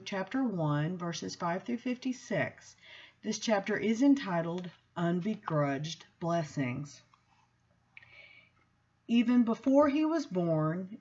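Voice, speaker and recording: A woman with a husky voice reads prose aloud in measured, deliberate phrases. Her pitch moves in a lively way as she leans on key words and leaves emphatic pauses. The recording sounds slightly dull and lo-fi. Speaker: seminary student reading aloud. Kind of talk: expressive book reading with spoken introduction.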